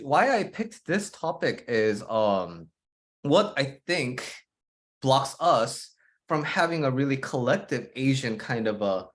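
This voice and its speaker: A man speaking as an interview guest over a video call, reflective and loose, with many fillers. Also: mid-range voice. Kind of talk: casual conversational interview.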